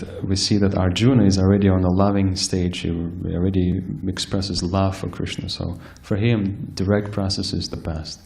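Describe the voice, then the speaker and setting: Soft-spoken low-voiced man giving a sermon. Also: creaky. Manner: soft-spoken, hesitant with many fillers and restarts. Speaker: speaker giving a scripture class. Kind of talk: sermon-style lecture. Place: temple hall with live audience.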